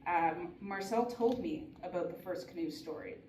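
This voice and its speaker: A woman's voice: fairly low pitch, moderate pace, darkish tone. A woman giving a prepared, earnest talk at a conference, with small restarts. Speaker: conference speaker. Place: conference hall. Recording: echoey hall from far off, noisy.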